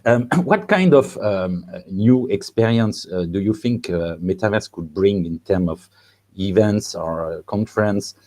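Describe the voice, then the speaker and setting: A man moderating a panel discussion, speaking haltingly with many "uh"s and repeated words. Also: lowish voice, animated, mellow.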